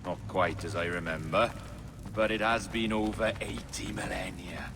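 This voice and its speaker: An actor playing an enigmatic male pirate captain in a video game cutscene, measured and cultured. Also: on the low side, fairly even intonation, natural voice. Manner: measured, cultured, quietly cryptic.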